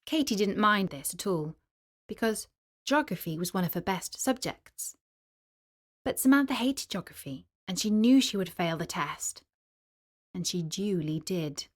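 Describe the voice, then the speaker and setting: A woman storyteller narrating a children's story in an expressive, clearly articulated storybook manner. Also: mid-range voice, measured pace.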